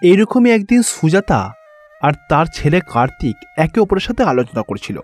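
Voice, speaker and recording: A man's voice: a little high, big pitch swings, moderate pace, a little mellow. A man reading scripted narration for a cartoon fairy tale as its storyteller. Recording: background music under the voice.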